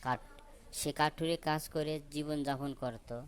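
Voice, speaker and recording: Slow-paced man telling a children's tale. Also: on the high side, steady tone, slight background noise. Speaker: story narrator. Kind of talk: children's folk tale narration.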